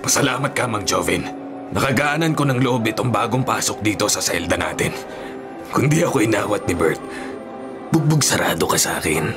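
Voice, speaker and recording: An actor playing a hot-tempered male prison bully with a gruff, threatening manner in a radio drama. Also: on the low side, lively intonation, moderate pace. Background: music.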